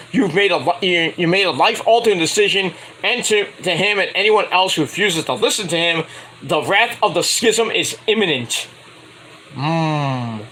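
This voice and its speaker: A man's voice: sits high, bright, forceful tone, poor audio. A man casually reviewing a wrestling show, rambling and correcting himself, with sarcastic asides while he fights off a cold. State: getting a cold, voice going. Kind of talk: casual solo review recap.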